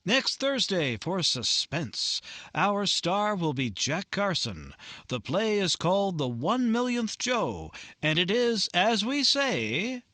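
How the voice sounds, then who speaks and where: A man acts out an upbeat mid-century radio sponsor's announcer. His forceful, slightly high voice swings widely in pitch, with polished, emphatic salesmanship. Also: big pitch swings, takes it slowly, dry recording, exaggerated voice. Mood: upbeat selling.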